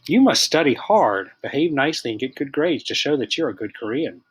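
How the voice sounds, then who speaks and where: A man voicing a caring, firm mother in a children's storybook, gently instructing and reassuring her child. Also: mid-range voice, animated, brisk, breathy edge.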